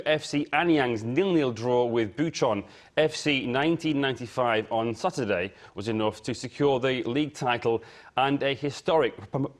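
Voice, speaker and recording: Man presenting sports news. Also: medium pitch. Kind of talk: broadcast sports report. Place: TV news studio.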